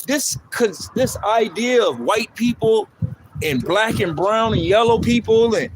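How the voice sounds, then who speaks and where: A man's voice: high-pitched, lively intonation, unhurried. A man chiming in on a street sermon with crude, heated remarks. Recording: outdoor traffic noise.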